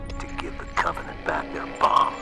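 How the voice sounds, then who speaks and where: An actor playing a stoic armored supersoldier in a game, terse and deadpan, with a gravelly voice. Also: male voice, normal speed, lightly acted. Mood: stoic, resolute. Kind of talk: game cinematic acting. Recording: loud music behind.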